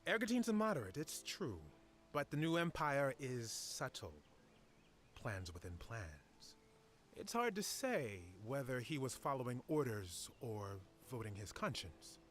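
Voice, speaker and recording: A man playing a measured, shrewd and courteous official in a fantasy video game. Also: medium pitch, wildly swooping pitch, fairly bright tone, a little hiss or hum. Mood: musing. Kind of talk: dramatic video game dialogue.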